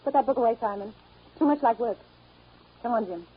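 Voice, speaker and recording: An actress playing a cheerful, encouraging woman of the household with a husky voice in a 1930s radio drama. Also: a little high, fairly quick. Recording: muffled 1930s radio recording.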